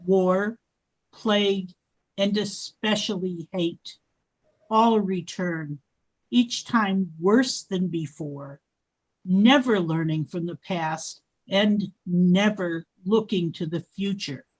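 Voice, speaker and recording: A woman playing a solemn, ageless female spirit who guides travelers, in a radio play, speaking in measured pronouncements. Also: fairly low pitch, darkish tone, rough recording. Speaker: wise spirit. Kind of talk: 1940s-style radio drama acting.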